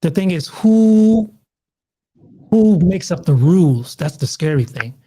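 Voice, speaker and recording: A man's voice: high voice, expressive, slow, mellow, husky. A man hosting a casual talk, hesitant and searching for words, who often restarts his sentences. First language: not Taiwanese, lives in Taiwan. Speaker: podcast host. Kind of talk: casual conversation.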